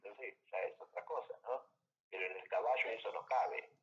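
A man with a Rioplatense Spanish accent, patiently teaching logic over a call and checking understanding with quick tag questions. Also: lowish voice, reverberant, lo-fi sound. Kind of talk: tutoring lesson over a call.

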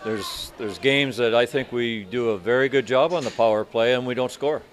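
An older man in his sixties, a hockey coach answering reporters after a game, speaking in a measured, halting way with many restarts. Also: mid-range voice, a faint crowd, slight background noise. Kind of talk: postgame press interview. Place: arena media room in front of sponsor backdrop.

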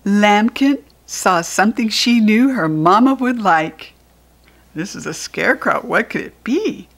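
A woman with an American accent reading a children's picture book aloud in a deliberate, teacherly way. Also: mid-range voice, lively intonation. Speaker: storybook reader.

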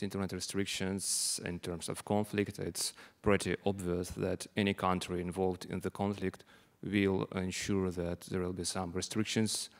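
A man in his thirties with a Russian accent speaks on a conference panel, his voice low and fairly monotone. He halts often and fills gaps with "uh" and "like". He talks into a handheld mic in a large conference room. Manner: halting, many fillers. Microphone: handheld microphone.

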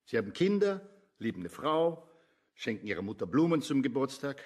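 An actor playing an earnest, conscientious intellectual man in a radio play, husky and argumentative. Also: medium pitch, sing-song, fairly quick, a little mellow. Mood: agitated, arguing. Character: Jakob Ammon, earnest, conscientious left-wing intellectual man.

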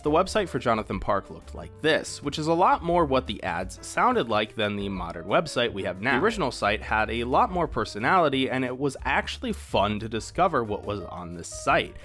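A man's voice: medium pitch, fairly bright tone. A man narrating a video essay in a casual, conversational way, with informal, wry asides.

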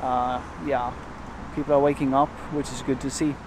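A man in his forties vlogging casually to a handheld camera outdoors and in a van, relaxed and rambling with many fillers and restarts. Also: medium pitch, normal speed, a little hiss or hum, rough recording. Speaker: travel vlogger. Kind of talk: casual vlog narration to camera. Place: outdoors in a parking lot and inside a van. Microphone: handheld camera at arm's length.

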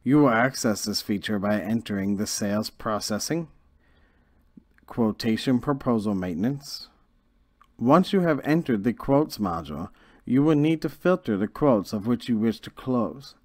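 A man narrating a scripted software tutorial in a measured, instructional way. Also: on the low side, expressive, no echo, clean studio sound. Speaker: software trainer.

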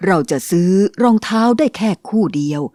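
A woman doing a soft, patient cartoon mother. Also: on the low side, expressive, normal speed, dry recording, studio quality, lightly acted. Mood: gently warning. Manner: soothing, coaxing. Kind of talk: cartoon dubbing.

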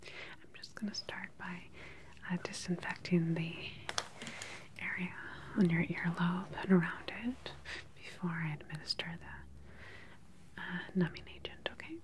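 Slow whispering woman, ASMR doctor roleplay. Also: on the low side, little pitch movement. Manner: gentle, reassuring, hushed clinical talk. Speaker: ASMR performer role-playing a doctor. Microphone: close microphone, face near the camera.

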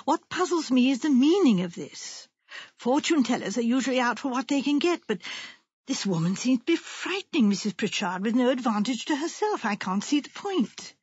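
A woman's voice: high voice, very animated, moderate pace, a little mellow, slightly rough, no echo, lo-fi sound, heavily acted. A woman voicing a practical, suspicious nurse with an earnest, matter-of-fact manner in an audiobook story. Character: grave, practical, shrewdly suspicious female nurse. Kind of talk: audiobook character voice.

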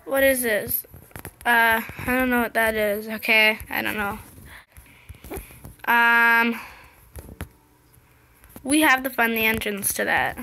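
A young girl commentating her gameplay in a casual, excited, chatty way, her voice slightly scratchy. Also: a little high, slow pace, long pauses. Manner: excited, chatty, impatient exclamations. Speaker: gameplay video host.

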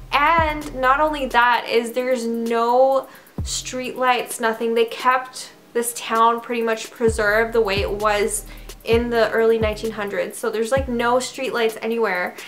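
A young woman from Canada, her voice sitting a little high, chats straight to camera from her bedroom, peppering her story with "like" and "I don't know". Soft music plays faintly underneath. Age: young adult. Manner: chatty, full of "like" and "I don't know". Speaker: vlogger. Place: bedroom, indoors.

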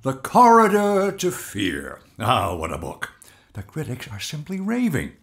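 A jovial male literary agent in an audio play. His mid-pitched voice leaps and swoops as he talks. He is effusive, chummy and always teasing. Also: wildly swooping pitch, measured pace. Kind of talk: audio drama dialogue.

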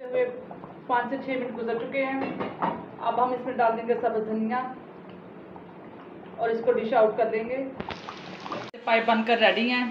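A woman hosting a home cooking channel, calmly talking through each step of a recipe in a home kitchen. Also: fairly high pitch, a little slow, pauses often. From Pakistan. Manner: calm, plain instructional delivery. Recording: roomy, muffled, with background noise.

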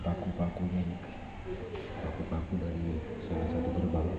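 Low-voiced guy from makassar walking a museum, tinny phone sound, echoey rooms, loud noise behind. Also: completely flat delivery, moderate pace. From Makassar, Indonesia. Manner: pointing things out, many fillers. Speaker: vlogger touring a museum. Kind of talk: casual walking tour narration. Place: indoor museum halls of an old fort.

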